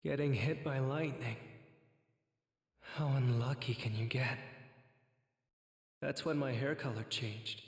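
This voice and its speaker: A man voicing an earnest, self-doubting teenage boy swordsman in a hushed, wistful inner monologue for an anime game. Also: mid-range voice, steady tone, slow, pauses often. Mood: regretful. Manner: hushed, wistful, breathy at times. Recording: echoey, long reverb.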